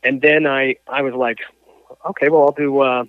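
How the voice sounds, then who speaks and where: A man, a coach and athlete, telling his story as an interview guest, halting with frequent restarts and fillers. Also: mid-range voice, level tone, dry recording. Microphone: phone or call line. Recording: tinny phone line.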